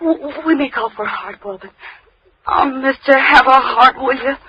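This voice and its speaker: A woman's voice: sits high, normal speed, muffled recording, natural voice. An actress playing a tough-talking, frightened young woman held captive in a 1930s radio horror play, blunt and slangy. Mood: frightened pleading. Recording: noisy 1930s radio recording.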